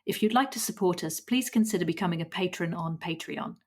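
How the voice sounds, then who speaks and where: A woman hosting a podcast, who listens softly and affirmingly, then reads a clear sign-off. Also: on the low side, a little fast. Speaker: podcast host. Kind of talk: interview and scripted outro.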